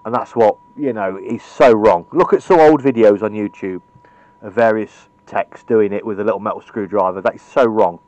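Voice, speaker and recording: A man's voice: lowish voice, normal speed, darkish tone, dry recording, muffled recording. A British man giving an informal, opinionated hands-on tutorial in a blunt way, recorded on a camera's built-in microphone. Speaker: radio repair hobbyist presenting a tutorial. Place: indoors at a cluttered electronics workbench.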